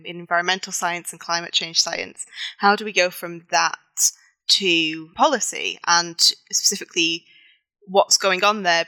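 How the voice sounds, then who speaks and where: A young Mancunian woman speaks as a podcast guest, a little low in pitch but bright and forceful in tone. She talks thoughtfully, sometimes restarting mid-sentence. The recording is dry and close. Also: dry recording, poor audio. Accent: Mancunian English. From England. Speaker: podcast guest, former student. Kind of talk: conversational interview answer.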